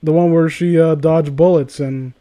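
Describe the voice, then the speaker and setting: Male co-host, high-pitched voice, sarcastic and profane, casual comedic commentary and read-aloud. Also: fairly even intonation, normal speed, warm tone. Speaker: co-host reading and mocking fan fiction.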